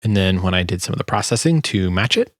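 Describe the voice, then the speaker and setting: Low-voiced man, casual tutorial. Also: lively intonation, fast, no echo, studio quality. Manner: informal, hesitant, thinking aloud. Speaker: sound designer presenting a tutorial. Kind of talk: casual screen tutorial narration.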